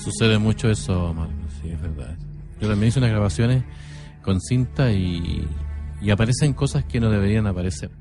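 Halting Chilean male storyteller over soft music. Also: fairly low pitch. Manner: halting, deliberate, repeats phrases. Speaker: radio co-host and storyteller. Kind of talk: unscripted storytelling on radio.

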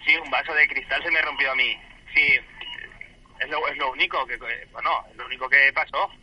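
A man's voice: medium pitch, steady tone, brisk, breathy edge, muffled recording. A man talking spontaneously on the telephone, hesitant and stammering, often repeating his words. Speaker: prank call victim, hotel guest. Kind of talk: spontaneous phone conversation.

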